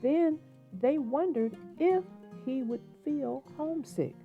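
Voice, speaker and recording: A woman's voice: high voice, slow, narrow, tinny audio. A woman host narrating a children's storybook as a read-aloud. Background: music, plucked guitar.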